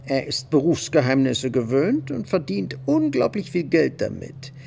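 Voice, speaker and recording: Practical bourgeois husband, radio drama, lively swooping intonation, creaky edge, insistent, background ambience. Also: male voice, a little high, a little slow, slight background noise, exaggerated voice. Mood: earnest, deliberating. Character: wealthy bourgeois husband, practical, discreetly charitable. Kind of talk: German radio play dialogue.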